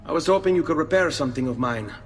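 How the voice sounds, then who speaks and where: Hot-headed young Italian nobleman, played by a man, high-pitched. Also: expressive, quick pace, soft background music, lightly acted. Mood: curious, impatient. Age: young adult. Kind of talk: video game voice acting.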